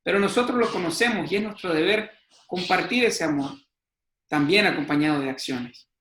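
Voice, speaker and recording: A man's voice: high voice, moderate pace, a bit bright. A young man, a student guest, speaking fluently about love and sending greetings. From El Salvador. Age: young adult. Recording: echoey room.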